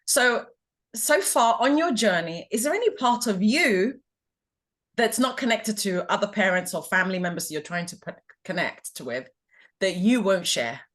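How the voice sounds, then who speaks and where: A woman from Ghana hosting a podcast, asking reflective, measured questions. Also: medium pitch, animated, a bit bright. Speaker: podcast host. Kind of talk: interview conversation.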